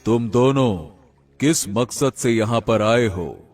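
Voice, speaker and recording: A man playing an imposing river god in an animated fairy tale, husky, grave and solemn. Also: medium pitch, faint music behind, natural voice. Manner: grave, deliberate, pronouncing.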